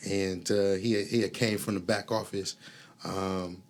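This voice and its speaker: A man's voice: sits low, flat delivery, unhurried. A man hosting a casual conversation, recalling things in a rambling way full of fillers. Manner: rambling, filler-heavy recollection. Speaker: podcast host.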